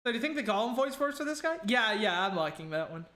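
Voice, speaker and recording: A woman's voice: on the high side, sing-song, fast. Chatty woman with a Wisconsin accent. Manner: chatty, wry, thinks out loud. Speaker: let's play host. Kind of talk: casual let's play commentary. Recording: roomy room with game music. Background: game music.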